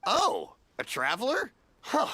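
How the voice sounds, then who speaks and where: Jolly older market vendor from a game, bright forceful voice, folksy, very swoopy. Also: male voice, extremely high pitch, huge pitch swings, takes it slowly, no echo, full character voice. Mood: jovial. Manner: hearty, folksy, chatty. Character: jovial older male festival stall keeper. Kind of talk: video game voice acting.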